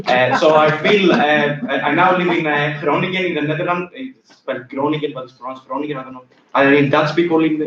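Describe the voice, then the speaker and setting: A man's voice: a little high, a bit bright. A man with a Greek accent speaks at a conference, hesitant and filling gaps with "uh". He sounds distant and roomy, with dull sound and faint noise behind him. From Greece. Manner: hesitant, many fillers. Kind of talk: conference talk. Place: conference room.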